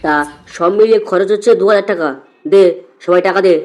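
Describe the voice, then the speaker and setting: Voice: mid-range voice, fairly even intonation, fairly quick, darkish tone, close to an everyday voice. A performer playing a cheeky, pushy young male drinking buddy in a comedy cartoon, bantering in slang. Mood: needling.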